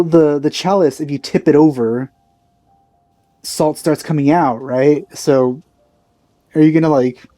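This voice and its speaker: A man with a mellow, relaxed tone comments casually on a game he is playing. He muses in halting phrases, recorded dry, with faint music behind him. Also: mid-range voice, dry recording. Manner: musing, hesitant, halting phrasing. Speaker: let's play host.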